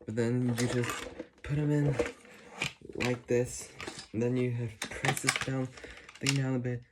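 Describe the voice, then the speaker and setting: Male how-to host, mid-pitched, halting informal delivery, noisy indoor recording with clicks. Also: level tone. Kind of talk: casual hands-on demonstration. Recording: noisy room with clicks and handling sounds.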